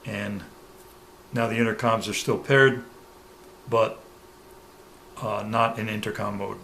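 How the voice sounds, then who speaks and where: A man presenting a hands-on product tutorial step by step, pausing mid-sentence as he demonstrates. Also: lowish voice, level tone, a bit bright, rough recording. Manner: halting, pauses mid-sentence while demonstrating. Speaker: product reviewer giving a demonstration. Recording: clear background noise, room ambience.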